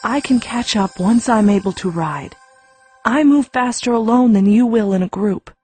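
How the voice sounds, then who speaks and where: A woman's voice: a little high, moderate pace, darkish tone, husky, soft background music. A woman playing an injured, stubborn teenage girl mage in an audiobook, blunt and no-nonsense. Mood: stern, urgent. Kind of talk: full-cast audiobook acting.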